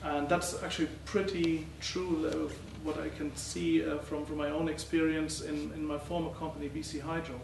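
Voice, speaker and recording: A middle-aged man giving a conference talk as a professor presenting research, speaking haltingly with many uh and um fillers. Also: fairly high pitch, little pitch movement, creaky. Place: lecture hall. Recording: roomy, distant, faint noise.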